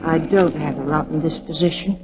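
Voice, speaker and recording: A woman's voice: sits low, brisk, close to an everyday voice. An actress playing a businesslike, tart young woman in a 1940s radio drama. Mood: brisk, tart. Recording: muffled 1940s radio recording, music behind.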